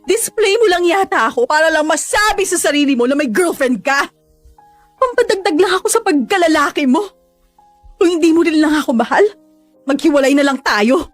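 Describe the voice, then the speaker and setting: A woman's voice: high voice, lively intonation, brisk, soft background music. An actress with a Filipino accent playing a hurt, clingy young girlfriend in a radio drama. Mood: hurt, resigned.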